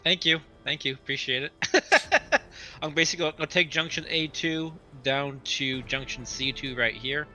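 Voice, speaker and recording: A man's voice: fairly high pitch, animated, moderate pace, sharp tone. A man chatting casually as a player in a tabletop roleplaying game. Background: background music.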